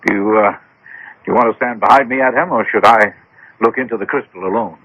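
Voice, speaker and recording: An actor playing a headstrong, agitated gentleman in a radio drama, speaking insistently with dramatic, halting exclamations. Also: lowish voice, slightly rough, muffled recording. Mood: demanding, impatient. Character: headstrong, agitated gentleman demanding to know his fate. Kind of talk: 1970s-80s radio drama acting. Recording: old radio broadcast, faint noise.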